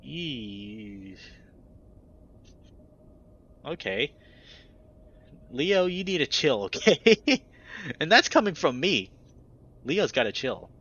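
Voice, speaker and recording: Animated man reading a visual novel. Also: mid-range voice, extremely slow, long pauses. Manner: animated, cussing reactions. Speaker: visual novel let's player. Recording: dull lo-fi audio with guitar music.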